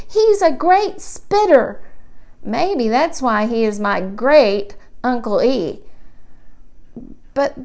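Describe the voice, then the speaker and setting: Woman voicing a high-pitched, earnest little boy. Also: full character voice. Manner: halting, stammering childlike repetitions. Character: earnest, puzzled four-year-old boy telling his story. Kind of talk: children's picture book read-aloud in character.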